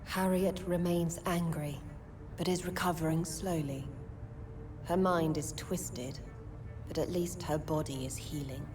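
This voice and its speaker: An actress playing a wise, cryptic old sewer-dweller with a formal, archaic manner in a video game. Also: female voice, low-pitched, steady tone, measured pace, over music. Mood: solemn. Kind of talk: dramatic video game acting.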